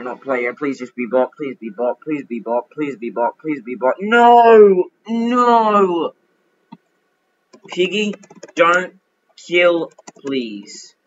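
Young man, gaming commentary, bright tense voice, dull lo-fi sound, excitable and chatty. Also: on the high side. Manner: chatty, excitable, frets and pleads aloud. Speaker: gaming YouTuber. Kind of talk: casual gameplay commentary.

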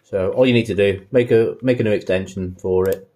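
A man's voice: fairly low pitch, brisk, dark tone. A man narrating a casual hands-on tutorial as an installer, thinking aloud in an informal way. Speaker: installer demonstrating intercom setup.

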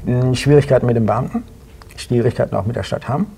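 A middle-aged man answering interview questions casually, in a plain-spoken, matter-of-fact way with a husky, gravelly voice. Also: mid-range voice, fast, mellow, a little hiss or hum. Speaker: interview guest, sculptor and illustrator. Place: small indoor talk-show studio.

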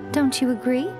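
Over background music comes a sly fox shrine maiden from a video game. Her voice sits a little high, and she speaks in a languid, coy manner, playfully teasing. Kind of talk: video game character dialogue. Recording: music under the voice.

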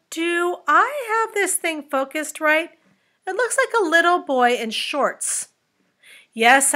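A woman voicing an excitable, alarmed male ship's captain in a children's storybook, with loud, exclamatory cries. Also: very high voice, voice pushed up, full character voice. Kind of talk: children's storybook read-aloud.

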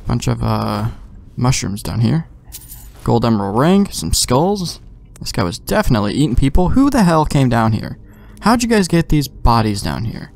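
A man's voice: mid-range voice, sing-song, moderate pace, creaky, darkish tone, a little hiss or hum. A young man giving offhand, slangy commentary as he plays a video game, talking to himself. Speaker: gamer, let's play host.

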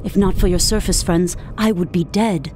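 A woman's voice: lowish voice, moderate pace, a little mellow, a little hiss or hum, lightly acted. A woman playing a regal, steadfast warrior queen in a video game, formal and commanding. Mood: earnest. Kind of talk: dramatic video game acting.

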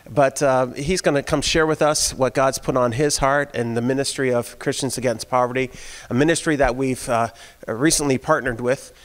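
A man, the church pastor, giving a friendly, informal welcome and introducing a guest. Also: medium pitch. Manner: friendly, informal, hesitant fillers. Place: church sanctuary. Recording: long hall reverb with faint music.

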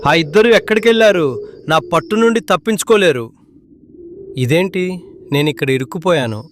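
A man's voice: high voice, lively intonation, fairly quick, a little hiss or hum. A man voicing a threatening, grumbling eagle that hunts small birds in a children's cartoon. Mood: menacing, frustrated. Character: menacing male eagle hunting small birds.

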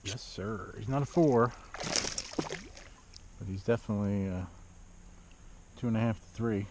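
A middle-aged man filming himself fishing from a kayak on a lake, swinging between excited exclamations and muttered asides. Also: lowish voice, slow pace. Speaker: fishing vlogger. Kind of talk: casual fishing commentary. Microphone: action camera mounted on the kayak. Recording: dull outdoor action-camera audio with wind and water noise.

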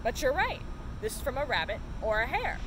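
A young woman voicing a kind, knowledgeable mother who gently instructs children in a storybook. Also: a little high, big pitch swings, fairly quick. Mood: explaining. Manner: explanatory, reassuring. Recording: outdoor noise of distant traffic.

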